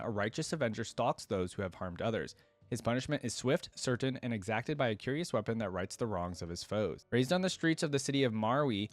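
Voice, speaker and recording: A man with a mid-pitched voice hosts a reaction show in a casual, direct style. He shifts between commentary for viewers and reading story passages aloud. The sound is dry, with very little room in it. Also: dry recording. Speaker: host of a game lore reaction channel.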